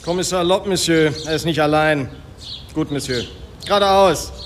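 A man's voice: on the high side, normal speed, close to an everyday voice. A man playing an insolent, contemptuous servant in a radio drama, with a sneering manner. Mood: stiff, disdainful. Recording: distant, echoey, noisy ambience.

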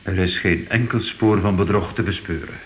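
An actor playing a sober, thoughtful art investigator in a radio drama, speaking in a measured, pondering way. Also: male voice, deep voice, fairly even intonation, natural voice. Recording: echoey, faintly noisy old radio play.

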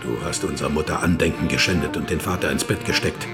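Ein Schauspieler spielt in einem Hörspiel einen strengen alten Vater, der seinen Sohn anklagend und deklamierend beschimpft. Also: male voice, very deep voice, quick pace, over loud music. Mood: bitter reproach. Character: stern accusing old father berating his son. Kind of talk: German radio play acting.

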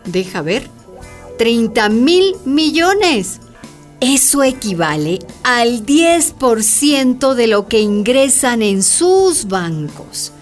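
An actress playing an earnest, didactic town mayor with a Latin American accent and emphatic key words in a radio drama. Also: female voice, a little high, very animated, slow pace, soft background music. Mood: indignant. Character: earnest, worldly-wise female town mayor, explaining patiently.

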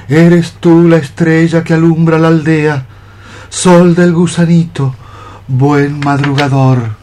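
A young cockroach poet for radio theatre, dreamy and lovelorn. His rather high voice declaims verse in a plaintive, lyrical way. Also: a little mellow.

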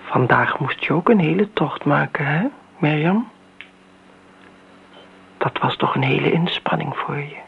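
An actress playing a bitter grown daughter in a Dutch radio play, dry, sarcastic and coolly needling. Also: female voice, deep voice, unhurried, creaky, long pauses, husky, full character voice. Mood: sarcastic, reproachful. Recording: noisy, narrow-band old radio recording.

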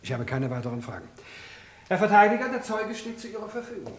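An actor playing a formal, probing, insistent prosecutor questioning a witness in a German radio crime drama. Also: male voice, high-pitched, big pitch swings, fast talker. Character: courtroom prosecutor, formal, pointed, insistent man.

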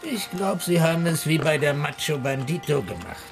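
A man's voice: a little high, big pitch swings, moderate pace, vocal fry, music in the background. A man acting a boisterous, wisecracking drinking buddy in a German radio drama. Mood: sarcastic.

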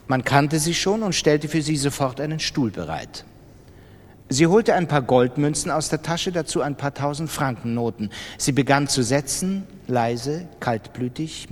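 A man narrating a dramatized literary reading in an expressive, deliberate storytelling manner. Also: mid-range voice. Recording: long reverberant hall.

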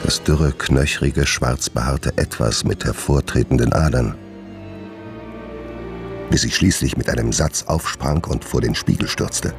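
An actor playing a tormented gentleman doctor in a radio drama, giving a grave, formal, literary confession. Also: very deep voice, a little slow. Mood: grave, haunted. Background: music.

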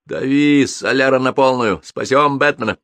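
A man voicing a hot-headed, boastful army technician captain, blustering and shouting in an audiobook. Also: a little high, animated, moderate pace, no room sound, voice pushed up. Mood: fired up.

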